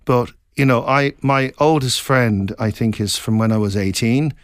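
A man's voice: mid-range voice, smooth, no room sound, clean studio sound. Reflective middle-aged man from Scotland. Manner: reflective, meandering, halting with many restarts. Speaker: author, interview guest. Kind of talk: casual interview chat.

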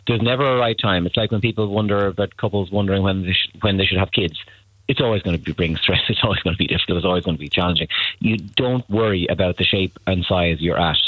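A man's voice: low voice, quick pace, dry recording. A man giving blunt, emphatic dating advice on a radio call-in, often repeating himself. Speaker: matchmaking agency guest. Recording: tinny phone line, dry.

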